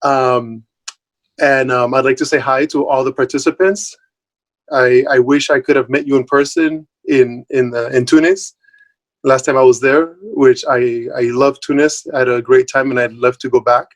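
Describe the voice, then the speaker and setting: A man's voice: medium pitch, fairly even intonation, normal speed. Friendly man from Puerto Rico. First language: Spanish. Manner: friendly, loose, many fillers and restarts. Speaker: guest speaker. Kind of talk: informal talk. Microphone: online video call.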